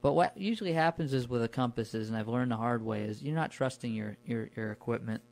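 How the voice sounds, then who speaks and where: A man talking casually and colloquially, telling stories in a relaxed conversational podcast style. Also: mid-range voice, a little fast, creaky. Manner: informal, colloquial. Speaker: podcast guest recounting his camping trips.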